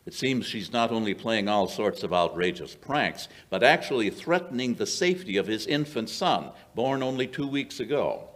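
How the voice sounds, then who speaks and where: An older man acts a brisk detective of old-time radio mystery. His voice sits somewhat low and moves with lively intonation. He lectures precisely and knowingly, in a declamatory style. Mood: grave. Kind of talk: old-time radio drama acting, scripted. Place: small indoor stage. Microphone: stand microphone.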